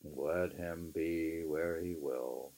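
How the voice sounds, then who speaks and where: A man reading literature aloud in an emphatic, deliberate, musing way, with informal asides. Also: extremely low pitch, fairly even intonation, mellow. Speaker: reader.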